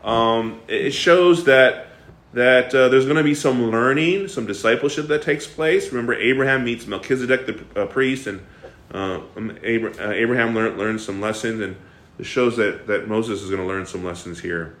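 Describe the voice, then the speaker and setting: Male Bible study leader, mid pitch, animated and questioning, echoey room. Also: moderate pace. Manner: animated, asks the class questions, frequent fillers and restarts. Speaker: bible teacher. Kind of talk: interactive bible study teaching.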